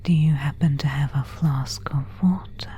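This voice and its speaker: A woman voicing an elegant vampire countess in a whispered ASMR roleplay, courteous and quietly predatory, with formal, old-fashioned diction. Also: low-pitched, fairly even intonation, normal speed. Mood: gently solicitous. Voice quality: breathy whisper.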